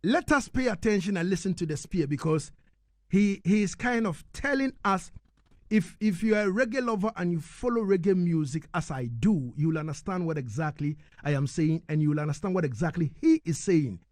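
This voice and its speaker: A man's voice: high-pitched, a little mellow, no room sound. Male radio host, dry close sound. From Ghana. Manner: deliberate, repeating words for emphasis. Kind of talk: live radio interview hosting.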